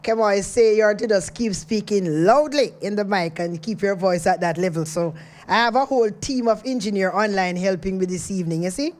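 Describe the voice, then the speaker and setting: A woman's voice: medium pitch, normal speed. A woman hosting a radio interview, chatty and often repeating words as she searches for them. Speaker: radio host.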